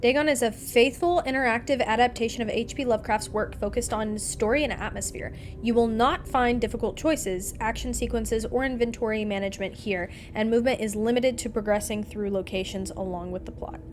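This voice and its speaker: Woman, fairly high voice, casual game streamer, playthrough commentary and read-aloud, clear music behind. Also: a little fast, hardly any pauses.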